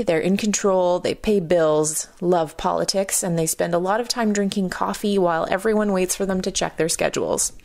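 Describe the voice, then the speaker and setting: A woman with a slightly low, gravelly voice hosts a community radio program. She reads her script in a measured, explanatory way. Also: a little mellow. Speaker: radio show host.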